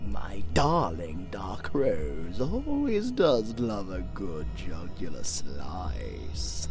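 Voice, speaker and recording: Wildly swinging British necromancer. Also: male voice, low-pitched, huge pitch swings, very slow, creaky, over loud music, heavily acted. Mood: mocking glee. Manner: theatrical, mocking, swearing, lurching from mutter to shriek. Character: gleeful villainous necromancer lord, doting on undead minions. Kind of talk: audio roleplay acting.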